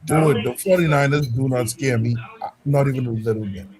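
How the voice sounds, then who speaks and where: A man's voice: mid-range voice, normal speed, a little mellow. A man joining a sports podcast as a fan guest, confident and brushing off the host's doubts. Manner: confident, dismissive. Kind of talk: casual sports debate. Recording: crowd noise behind.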